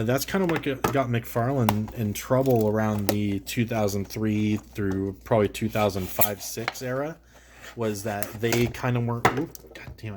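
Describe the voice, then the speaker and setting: A man's voice: on the low side, background noise. A man with a cold, sounding congested, talks casually as he opens and reviews an action figure, full of fillers and restarts. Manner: rambling, filler-heavy, self-correcting. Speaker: toy reviewer, channel host. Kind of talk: casual unboxing chat.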